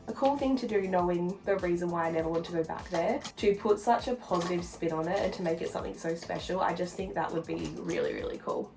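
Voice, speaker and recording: A woman with a slightly low voice talks casually, as if filming a personal vlog. She rambles in an informal, confiding way. Faint music plays behind her. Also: a little mellow. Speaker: vlogger. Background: background music.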